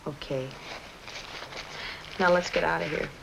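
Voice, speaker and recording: An actress playing a devoted, lonely young sweetheart in a 1950s film noir, plainspoken and yearning. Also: female voice, low voice, fairly even intonation, a little slow, gravelly, noisy background, exaggerated voice. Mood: hurt, worried. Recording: old film soundtrack with score.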